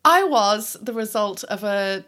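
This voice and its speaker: A woman's voice: mid-range voice, animated, unhurried, fairly bright tone. A woman in her thirties with a mixed English and Irish accent, telling family stories in casual chat and laughing often. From born in the US, raised in England and Ireland.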